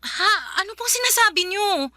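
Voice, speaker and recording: A woman's voice: very high voice, animated, normal speed, very noisy. A woman acting a weary, polite young daughter who protests and pleads, in a Filipino radio drama with a Filipino accent. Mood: aggrieved protest. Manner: respectful but protesting, pleading.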